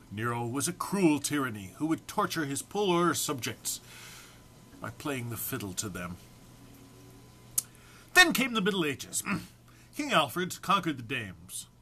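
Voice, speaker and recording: A man's voice: fairly high pitch, pushed and bright, husky. A man giving a theatrical, amused dramatic reading of a humorous written text, with emphatic phrasing. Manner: amused, prone to breaking into laughter. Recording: faint background noise.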